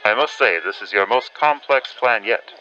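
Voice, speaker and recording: Man acting a forceful, bright 1940s radio correspondent. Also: fairly low pitch, lively intonation, normal speed, slight background noise. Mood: incredulous, wry. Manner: old-fashioned announcer-like phrasing, exclaiming. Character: 1940s male radio correspondent, reluctant but dutiful, wry. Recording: dull band-limited audio with music behind.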